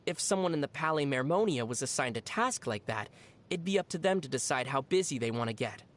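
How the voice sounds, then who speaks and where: An actor playing a young traveler in a video game, speaking in a measured, thoughtful, dry and gently teasing way. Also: medium pitch, expressive, close to an everyday voice. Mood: reassuring. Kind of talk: scripted video game dialogue.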